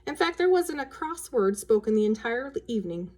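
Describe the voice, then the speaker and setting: A woman's voice: fairly high pitch, big pitch swings, normal speed, a little mellow. Woman reading a children's story aloud. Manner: acts out dialogue with changes of voice.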